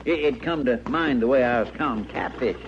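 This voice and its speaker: An actor playing a talkative, eager deputy sidekick with an American accent and a rustic, deferential manner in a radio western. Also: male voice, medium pitch, lively intonation, a little fast, natural voice. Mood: chatty, eager. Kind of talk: old-time radio western acting. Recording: muffled, noisy old radio recording.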